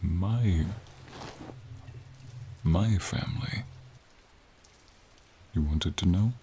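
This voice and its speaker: A man voicing a gentle, wise, lonely dragon in a slow, intimate ASMR fantasy roleplay, with a deep, gravelly, breathy voice and measured, pensive, formal phrasing. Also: exaggerated voice. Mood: sleepy, tender. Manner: measured, pensive, slightly formal, with frequent pauses. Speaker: voice actor in roleplay audio. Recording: rain or ambient noise behind. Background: light rain, outdoor ambience.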